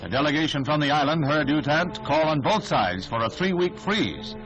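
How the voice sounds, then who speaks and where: An American man narrates a 1960s newsreel in a formal, dramatic style, his voice pitched a little high. The soundtrack is dull and vintage, with prominent music behind him. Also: expressive, normal speed. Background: loud orchestral newsreel music.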